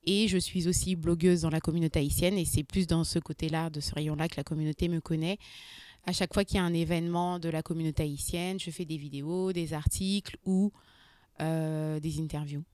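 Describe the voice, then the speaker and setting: A woman in her thirties from France talks as an interview guest. Her voice sits fairly low, and she pauses often with fillers as she explains herself. The sound is dry, with no room echo. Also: fairly even intonation, mellow. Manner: hesitant, many pauses and fillers. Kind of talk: casual interview talk.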